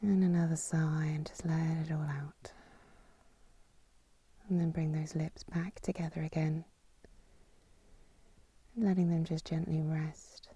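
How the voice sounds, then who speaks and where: A woman yoga nidra teacher giving guided meditation in a soft, breathy, half-whispered voice, calm and soothing, with long pauses between instructions. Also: low-pitched.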